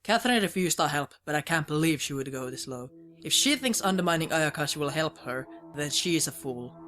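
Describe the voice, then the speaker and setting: A woman's voice: low-pitched, expressive, brisk, a bit bright. A woman playing a grim, vengeful commander in a fan audio drama, cold and resolute.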